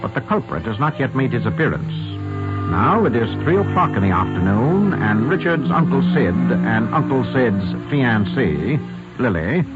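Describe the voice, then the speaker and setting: A man hosting and narrating a 1940s radio drama in a formal, measured, scripted style. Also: medium pitch, sing-song, loud music behind the voice. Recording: thin vintage radio broadcast, phone-like.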